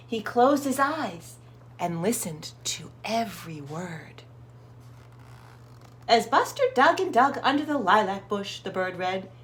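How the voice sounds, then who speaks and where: A woman reading a storybook aloud to young children, expressive and encouraging, putting questions to her listeners. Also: medium pitch.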